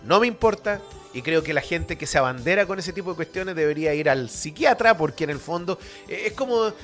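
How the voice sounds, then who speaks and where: High-pitched, blunt Chilean man on radio. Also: expressive, brisk, a bit bright, faint music behind. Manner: opinionated, blunt, colloquial, with rhetorical questions and tag questions. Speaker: radio history show host, academic. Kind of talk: informal history lecture on radio.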